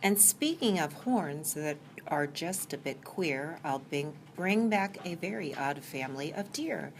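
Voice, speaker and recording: A woman reads a storybook aloud in a slightly low voice. Her pitch moves about in a lively way. There is faint noise in the background. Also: measured pace. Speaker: staff member reading aloud.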